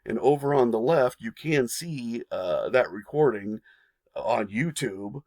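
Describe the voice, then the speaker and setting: A man's voice: medium pitch, animated, measured pace, darkish tone, no room sound, clean studio sound. A man hosting a history channel, reading a narrated lesson and turning to casual asides for viewers.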